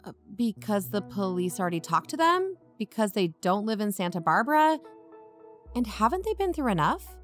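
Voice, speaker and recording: A woman's voice: mid-range voice, big pitch swings, normal speed, soft background music, lightly acted. An actress playing a blunt, matter-of-fact young woman co-hosting an investigative podcast in an audio drama. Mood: defensive, blunt. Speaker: podcast co-host. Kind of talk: fiction podcast narration and dialogue.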